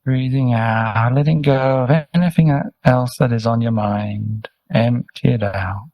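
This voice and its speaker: A man leading a guided meditation as a teacher, speaking gently and soothingly in short, unhurried instructions with pauses. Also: mid-range voice, fairly even intonation, no room sound, muffled recording. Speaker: meditation teacher, Buddhist monk.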